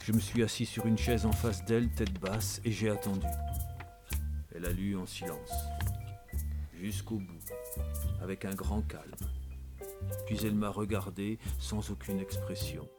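A man's voice: on the low side, steady tone, unhurried, long pauses, close to an everyday voice. Actor as a theatrical, exasperated male storyteller over music. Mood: confiding. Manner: confiding, theatrical, addressing listeners directly. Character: exasperated, guilt-ridden man recounting how he lost his ear. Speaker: actor in a radio fiction. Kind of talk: first-person storytelling in a French radio play.

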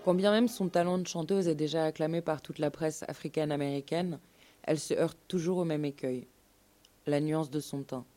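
A woman's voice: low-pitched, normal speed, warm tone. A woman narrating a scripted documentary story as part of a live radio show. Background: music.

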